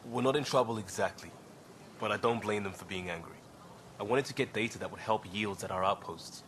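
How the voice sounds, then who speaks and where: A man's voice: fairly low pitch, fairly quick, fairly bright tone, a little hiss or hum, lightly acted. An actor playing an earnest young crewman in a video game, talking informally and candidly. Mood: earnest, apologetic. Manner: informal, candid, a little sheepish. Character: earnest young male crisis-response crewman.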